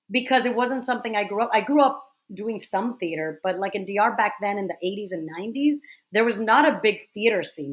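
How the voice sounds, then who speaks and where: Animated woman in her forties. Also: medium pitch. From Dominican Republic. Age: 40s. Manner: animated, quick storytelling with frequent restarts. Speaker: guest, theater director. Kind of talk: casual interview conversation.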